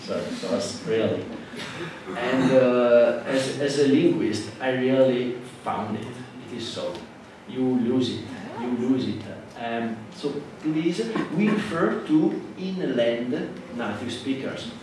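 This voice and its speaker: A man with an Italian accent, a native Italian speaker, giving a classroom lecture in a halting way with many fillers and restarts. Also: medium pitch. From Italy. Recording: echoey hall from far off with noise.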